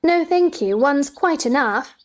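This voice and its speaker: A woman's voice: high-pitched, lively intonation, unhurried. A woman playing a curious, polite young girl, speaking with earnest wonder in a theatrical storybook dramatization. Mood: puzzled, polite.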